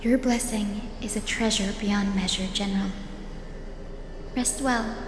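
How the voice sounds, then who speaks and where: A woman's voice: medium pitch, steady tone, a little slow, noisy background, close to an everyday voice. A woman playing a kind, optimistic young priestess in a video game, speaking earnestly. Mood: earnest, respectful. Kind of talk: scripted video game voice acting. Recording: echoey game audio with music behind.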